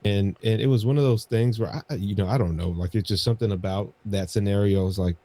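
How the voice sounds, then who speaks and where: A man's voice: fairly low pitch, quick pace, dark tone, no room sound. A man co-hosting a podcast, telling anecdotes in a casual, rambling way and often repeating his phrases. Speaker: podcast co-host.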